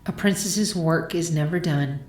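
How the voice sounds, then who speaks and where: A woman's voice: low voice, moderate pace, darkish tone. A woman narrating a scripted story as its reader.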